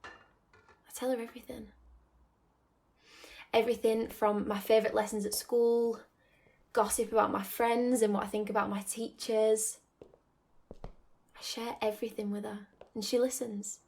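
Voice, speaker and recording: A woman playing a nostalgic young woman in a reflective radio drama monologue, confiding and wistful, with sighing pauses. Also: a little high, little pitch movement, vocal fry, a little mellow. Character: nostalgic young woman torn between home and independence.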